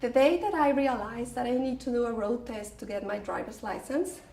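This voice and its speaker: Woman from mexico, higher voice, chatting to the crowd, sounds far away in an echoey room. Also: moderate pace, gravelly, darkish tone, slight background noise. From Mexico City. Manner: chatty, addressing the audience directly. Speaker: storyteller. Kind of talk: personal story told to a group. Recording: roomy, distant from the mic.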